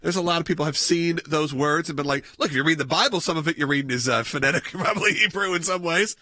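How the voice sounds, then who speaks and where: A man co-hosting a political talk radio show, rambling quickly and laughing often, with a fairly high voice. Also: bright, forceful tone, dry recording, studio quality. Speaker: radio co-host.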